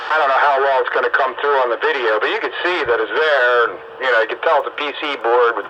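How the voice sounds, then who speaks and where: A man chatting casually about technical hobby matters over the radio, in a halting, colloquial way as he thinks aloud. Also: medium pitch. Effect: two-way radio transmission. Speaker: amateur radio host and hobbyist. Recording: muffled radio audio with clear background noise.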